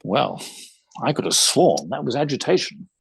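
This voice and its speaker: A man playing a shrewd, unruffled gentleman detective in an audio theater mystery, speaking precisely with formal, wry phrasing. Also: medium pitch, very animated, normal speed, gravelly. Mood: formal, assured.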